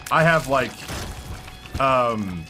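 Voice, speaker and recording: A man in his thirties streaming and commenting on a game in a rambling, self-mocking way, his voice hoarse after hours of talking. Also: mid-range voice, animated, extremely slow, bright, forceful tone, faint music behind. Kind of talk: live gameplay commentary. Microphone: headset with headphones.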